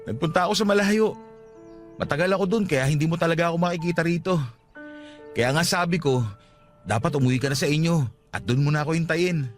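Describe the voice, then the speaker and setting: Radio drama lead: actor as devoted young Filipino man, fairly high voice, patient, gentle, respectful, music beneath. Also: fast talker, pauses often, lightly acted. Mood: regretful, tender. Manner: soft-hearted, earnest, respectful.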